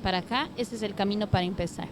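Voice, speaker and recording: A woman's voice: medium pitch, fast, slight background noise. A woman speaking on a conference panel, friendly and concise, rounding off her points with tag questions. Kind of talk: panel remarks. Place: conference venue.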